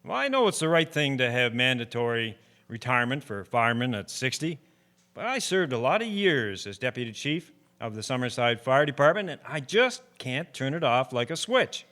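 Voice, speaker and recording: Man acting folksy retired fire chief, very lively. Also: mid-range voice, moderate pace. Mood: genial, concerned. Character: retired deputy fire chief, older man, genial, restless. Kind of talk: radio drama acting.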